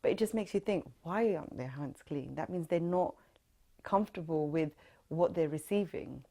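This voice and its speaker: Woman, London accent, low pitch, reflective, earnest, oral history interview. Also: moderate pace, mellow. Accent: London English. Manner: reflective, earnest, with many fillers.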